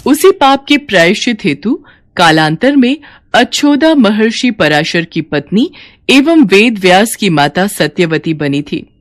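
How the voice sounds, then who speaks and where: Animated woman narrating devotional tales. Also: mid-range voice, a little slow. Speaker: storyteller narrator. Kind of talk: scripted devotional story narration.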